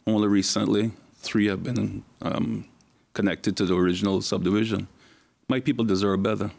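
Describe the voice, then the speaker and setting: A man with a hoarse, gravelly voice that sits low for a man. He speaks bluntly and plainly as a candidate at a public forum, circling back over his points. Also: steady tone, moderate pace, a little mellow. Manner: blunt, plain-spoken, repeats himself. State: hoarse from flu. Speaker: election candidate. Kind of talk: campaign speech at a public forum.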